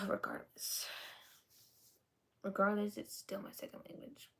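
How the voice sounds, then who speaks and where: A woman in her twenties rambling through a personal confession to camera, halting, with lots of ums and restarts, and often dropping to a half-whisper. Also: medium pitch, level tone, creaky, a bit bright, husky. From United States. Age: 20s. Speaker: vlogger.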